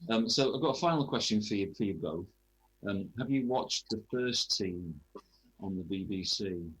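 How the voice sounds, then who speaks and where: A man's voice: fairly low pitch, lively intonation, moderate pace, a bit bright, husky, poor audio. A man interviewing in a chatty sports radio style, hesitantly rephrasing his questions as he goes.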